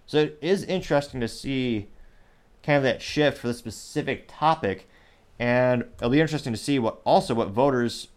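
A man hosting his own commentary show, rambling and correcting himself as he goes, recorded on a small USB microphone. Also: medium pitch. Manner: rambling, self-correcting, mentions stuttering and speaking fast. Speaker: show host.

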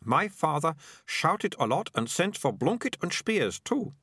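A man's voice: a little high, very animated, fairly bright tone, a little hiss or hum, pitched above the performer's own voice, exaggerated voice. A man voicing a bossy, dramatic young girl in a storybook, insistent and very sure of herself. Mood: self-assured. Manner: insistent, brisk, self-assured.

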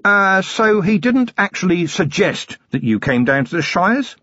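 A man voicing a gruff middle-aged police commander who grumbles dryly. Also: high-pitched, big pitch swings, a little slow, pushed and bright, dry recording, dull audio, pitched above the performer's own voice, full character voice. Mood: wry. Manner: dry, grumbling and wry.

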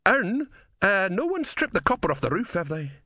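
A mild old philosopher acted out by a male narrator in a storybook. He is wry and unflappable, rambling along with lively swings of pitch in a very dry, close recording. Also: normal speed, no echo, exaggerated voice. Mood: bemused.

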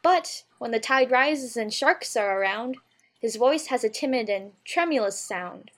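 A woman's voice: fairly high pitch, lively intonation, a little slow. A woman playing a polite, puzzled young girl with a proper, earnest delivery in an audiobook. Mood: uncertain reciting. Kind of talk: full-cast audiobook character acting.

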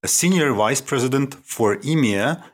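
A man hosting a podcast interview, asking questions with halting, slightly non-native phrasing. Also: mid-range voice, animated. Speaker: podcast host.

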